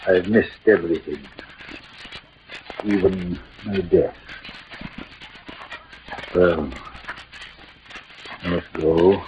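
A man's voice: sits low, fairly even intonation, noisy background. An actor playing a proud, defiant, poetic dying swordsman in theatrical, declamatory 1940s radio verse style. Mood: wry, fading. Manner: declamatory, grandiloquent, dramatic pauses. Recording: muffled vintage radio recording, music behind.

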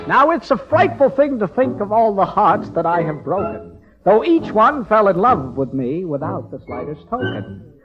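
A man's voice: high voice, sing-song, normal speed. An actor playing a breezy, girl-chasing bachelor sidekick in a vintage radio musical comedy. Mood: jaunty singing. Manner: breezy, joking, theatrical. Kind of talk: 1940s-50s radio musical comedy acting. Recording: dull old radio recording, music behind.

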